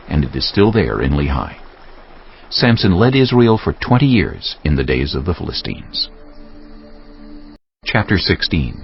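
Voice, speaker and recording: A man's voice: deep voice, creaky, slight background noise. A man narrating scripture as a solemn, dramatic story. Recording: dull band-limited audio over music.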